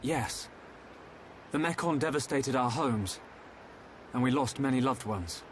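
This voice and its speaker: A medium-pitched young hero's voice, played by a man in a fantasy video game. He is courteous, sincere and resolute. Noise can be heard underneath the voice. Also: unhurried, natural voice. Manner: polite, earnest. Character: earnest, resolute young male fantasy hero. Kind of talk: dramatic video game voice acting.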